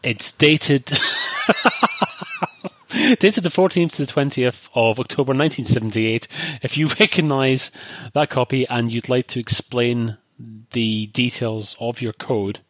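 A man's voice: medium pitch, a little slow. A man co-hosting a casual chat show, talking in long thoughtful asides. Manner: discursive, thoughtful, many asides. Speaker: podcast co-host.

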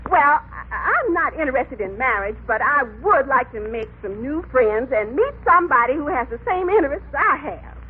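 Nervous married lady: high, halting, lively swings, old radio comedy through a tinny narrow band. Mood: shy, flustered. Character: shy, flustered married woman seeking new friends. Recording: thin, muffled old radio recording.

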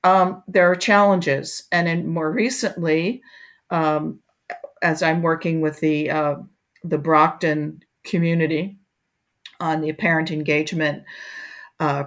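A woman's voice: low voice, fairly even intonation, slow pace. An older woman being interviewed, speaking reflectively about her work with many fillers and restarts. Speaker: guest, education researcher. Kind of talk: conversational interview.

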